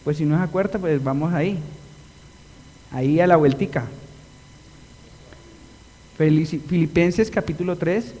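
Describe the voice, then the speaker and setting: A man preaching a live sermon in a chapel, explaining a text and asking the congregation questions, drawing out key words slowly. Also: a little high, dark tone. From Colombia. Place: church chapel. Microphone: livestream recording. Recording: long reverb of a church hall.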